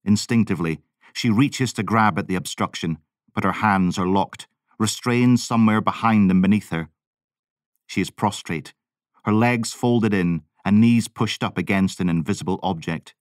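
A man's voice: lowish voice, normal speed, no echo, clean studio sound. A man giving a dramatic scripted narration as an audiobook narrator. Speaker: voice-over artist and audiobook narrator.

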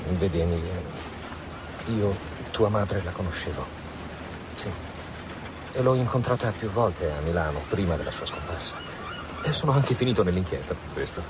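An actor playing a reassuring, smooth-talking suitor of about thirty in an Italian radio drama. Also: male voice, low voice, animated, moderate pace, pauses often. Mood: reassuring, explaining. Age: 30s. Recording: radio drama with clear ambient noise.

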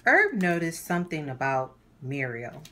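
A woman hosting a children's read-aloud, narrating a storybook in a deliberate, animated way for young listeners. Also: low voice, a little hiss or hum.

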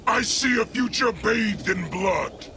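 A man playing a rage-filled, bloodthirsty alien warlord in a video game, gravelly, grandiose and menacing. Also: high-pitched, animated, takes it slowly, background noise. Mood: roaring menace. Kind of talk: video game fight banter.

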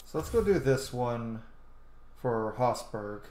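A man's voice: medium pitch, expressive, slow, dark tone. A man in his thirties giving casual commentary on a video game playthrough from a small room. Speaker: game streamer. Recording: echoey room, game music behind.

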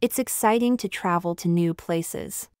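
Smooth, low-pitched woman reading English prompts. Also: a little slow, a little mellow, dry recording, clean studio sound. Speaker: English translation reader.

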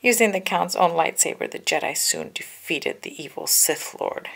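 A woman's voice: fairly low pitch, expressive, normal speed, creaky, a bit bright. A woman reading a children's storybook aloud with careful, dramatic narration. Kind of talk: children's book read-aloud, scripted narration.

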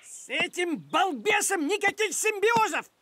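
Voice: very high voice, lively intonation, unhurried, very noisy. An actor voicing a grumpy, thrifty talking tomcat in a cartoon, with a scolding, bossy manner. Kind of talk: animated series voice acting. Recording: music behind the voice.

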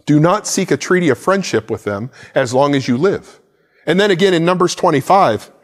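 A man's voice: on the high side, long reverb tail. A man in his forties preaching a sermon to camera from a church stage, gesturing with a Bible in hand. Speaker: pastor. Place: church stage set with plants and a cross.

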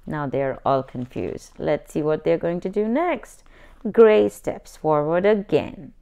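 A woman reads a children's picture book aloud at a very unhurried pace. Her pitch rises and falls a great deal as she takes each line, and she slips into playful voices for the characters. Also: clean tone. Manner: slow, lively storytime reading with playful character voices. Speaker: children's book reader, channel host. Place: indoors at a table, hands over a book.

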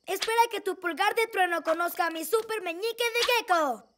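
A woman voicing an eager, energetic little boy superhero in a cartoon dubbed in Latin American Spanish. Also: very high voice, fairly quick, background noise. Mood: excited, boastful. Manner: energetic, emphatic. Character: eager little boy hero with gecko powers. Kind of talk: cartoon dubbing. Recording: loud music behind the voice.

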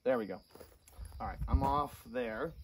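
Man, mid-pitched voice, casual product review, halting delivery, far from the mic, outdoor noise. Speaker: host of a gear review channel. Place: outdoor shooting range. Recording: distant mic, outdoor noise, insect chirps.

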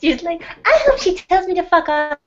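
A sugary, overly polite young woman, put on by a woman in a comedic interview bit. Her voice sits very high and girlish. The recording sounds dull and lo-fi. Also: lively intonation, moderate pace, soft background music. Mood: sugary sweet.

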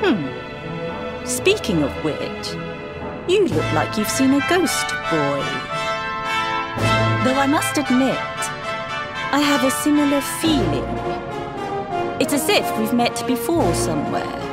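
A stately temple grand master from a video game. She is imperious and wry, with formal phrasing and a lively, swooping intonation, over loud music. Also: high-pitched, full character voice. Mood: wry, fond. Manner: imperious, measured, formal, teasing. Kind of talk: video game cutscene acting.

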